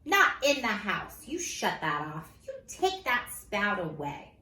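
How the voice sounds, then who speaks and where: A bossy storybook mom lays down the law as a woman reader acts her out. Her voice is bright and forceful, scolding with heavy emphasis in an echoey room. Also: mid-range voice, very animated, slow pace, heavily acted. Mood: firm, scolding. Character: stern, scolding mother of a young child. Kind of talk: children's storybook character voice. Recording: roomy echo with faint background noise.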